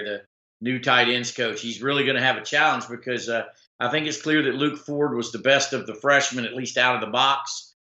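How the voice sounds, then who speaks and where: A man hosting a casual sports talk stream, rambling with many fillers and restarts, his voice slightly rough. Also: medium pitch, sharp tone, reverberant, lo-fi sound. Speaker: sports talk show host. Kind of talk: casual live-stream commentary reading viewer comments.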